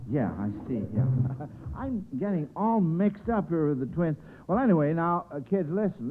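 A man with an American accent hosting a 1940s radio quiz show in a jovial, folksy, bumbling way. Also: a little high. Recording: scratchy old radio broadcast, narrow phone-like sound.

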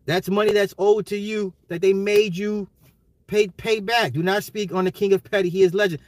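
An American man ranting casually on a livestream, emphatic and slangy, repeating his phrases, his voice getting slightly hoarse. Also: high-pitched, steady tone, normal speed, dry recording. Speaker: livestream host. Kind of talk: casual opinionated livestream rant.

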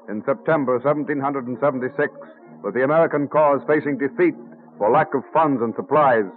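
A man narrates in a formal, stately old-time radio style. His voice sits a little high. Music plays under a thin, tinny recording. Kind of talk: 1940s radio scripted narration.

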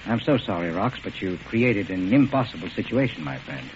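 An actor playing a polite, coolly murderous doctor obsessed with research, speaking with genteel precision in an old radio drama. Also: male voice, sits low, big pitch swings, fairly quick. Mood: regretful. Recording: muffled, noisy old radio recording.

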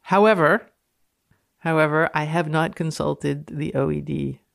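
A woman's voice: sits low, a little slow, vocal fry. A woman with a Canadian accent, reading texts aloud dramatically and commenting casually in a playful, mock-authoritative way. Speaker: guest reader and commentator on a podcast.

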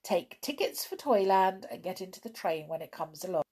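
A woman voicing a brisk, helpful male brownie, a bearded woodland sprite from a children's storybook. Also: fairly low pitch, expressive, darkish tone, breathy edge. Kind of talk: children's storybook read aloud.

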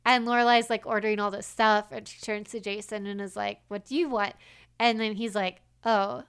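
A woman's voice: medium pitch, fairly even intonation, normal speed, a bit bright, clear, resonant tone. A woman co-hosting a podcast, chatting casually and acting out quoted lines with lots of "like" fillers. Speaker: podcast co-host. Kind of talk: casual chat.

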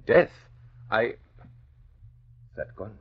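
An actor playing a polite, nervous older doctor, speaking in a halting, courteous way in old radio drama style. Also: male voice. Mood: terrified. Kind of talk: 1940s radio acting. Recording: dull vintage radio recording.

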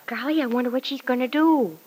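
A woman's voice: high voice, fairly quick, darkish tone, husky. A woman in an old-time radio drama playing a naive, curious teenage girl with a gushing, wide-eyed manner. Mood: worried, guilty.

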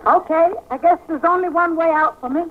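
A dull old radio recording carries a brash comic singer. Her voice is very high, and she delivers sardonic, reluctant retorts in 1940s comedy fashion. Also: a little fast, slight background noise.

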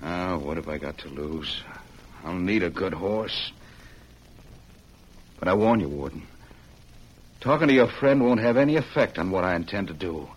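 An actor playing a stubborn, vengeful young ex-convict cowboy, defiant and suspicious, in old-time radio western style. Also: male voice, sits low, expressive, normal speed, long pauses, breathy edge, rough recording. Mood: grimly resigned. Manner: defiant, guarded, folksy western talk. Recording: old radio recording with background noise.

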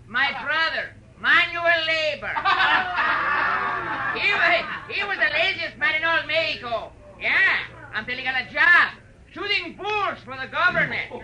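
An actor playing a corny baggy-pants burlesque comedian, delivering broad, hammy jokes in an old radio show. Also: male voice, very high voice, sharp tone, heavily acted, rough recording. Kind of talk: 1940s radio comedy stage act.

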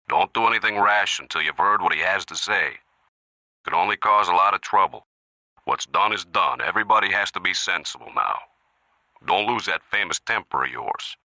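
A man voicing a calm, menacing gangster in an audiobook, soft and gentle, measured and slightly mocking. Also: sits low, no echo, full character voice. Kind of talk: audiobook character voice.

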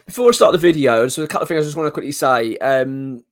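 A man, hoarse from a cold, chatting casually as a football podcast host, rambling and repeating himself. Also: medium pitch, fast, no echo, studio quality. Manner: rambling, repeats words, many fillers. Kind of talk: casual fan commentary.

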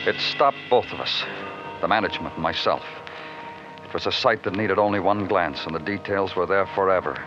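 Actor as a low-voiced, world-weary radio detective. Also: male voice, brisk, lightly acted. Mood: grim. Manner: clipped, terse, deadpan. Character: world-weary male big-city police detective, terse and brooding. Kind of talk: 1950s radio crime drama, hard-boiled narration and dialogue. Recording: dull old radio recording with music.

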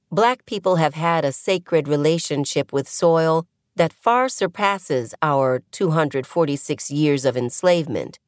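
Female audiobook reader, low register, measured phrasing, close dry sound, scripted essay narration. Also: no echo. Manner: measured, deliberate phrasing with pauses.

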